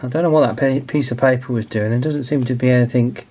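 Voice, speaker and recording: Man in his forties, medium pitch, casual hobbyist host, thinking aloud, hesitant, some background noise. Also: fairly even intonation, fast, warm tone. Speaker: video host, radio restoration hobbyist. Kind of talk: casual workbench narration.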